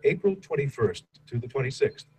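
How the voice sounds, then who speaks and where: A man reading a list aloud in a measured way. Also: mid-range voice, lively intonation, dark tone, a little hiss or hum, poor audio.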